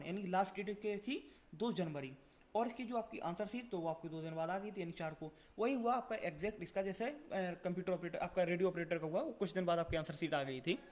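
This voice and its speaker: A man's voice: high voice, fairly quick, a little mellow. A man presenting an exam-update video in an informal explainer style, repeating his points and answering his own questions.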